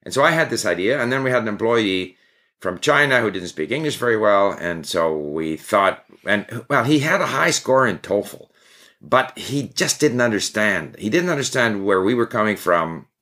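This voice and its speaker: Deep-voiced older man, relaxed storyteller. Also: moderate pace. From Canada. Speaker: guest. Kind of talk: interview conversation.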